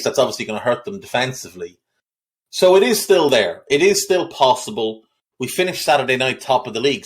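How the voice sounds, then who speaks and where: A man hosting a football podcast, talking in a blunt, opinionated way with frequent restarts. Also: medium pitch. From Ireland. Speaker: podcast host. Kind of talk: casual football podcast chat.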